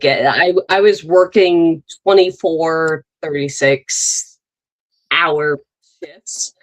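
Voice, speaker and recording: A woman in her thirties with a low voice, talking slowly and unhurriedly. She rambles through her point, circling back with fillers and restarts as she co-hosts a casual chat. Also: rough recording. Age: 30s. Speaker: podcast co-host.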